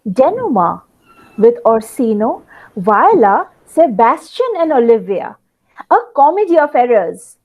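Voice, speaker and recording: A woman's voice: a little high, a little mellow, low-quality recording. A woman narrating a play in theatrical rhymed storytelling, addressing her listeners directly.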